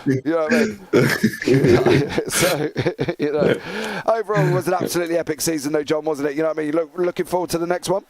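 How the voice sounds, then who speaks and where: A man's voice: on the high side, a little fast, darkish tone. A man hosting a live sim racing broadcast, chatting and joking with guests as commentator. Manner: chatty, jokey. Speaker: race commentator and host.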